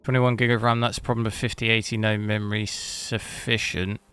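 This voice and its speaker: A man streaming a game, chatting casually with rambling, halting restarts. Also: on the low side, flat delivery, a bit bright, clear, resonant tone. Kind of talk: casual live stream chat.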